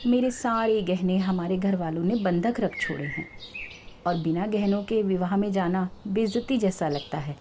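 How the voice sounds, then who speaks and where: A woman's voice: medium pitch, moderate pace, warm tone, slight background noise, close to an everyday voice. A woman voicing a cunning, brazen swindler woman in a told story, with an insistent, theatrical manner. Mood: pleading. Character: cunning brazen swindler woman, pleading then scornfully denying.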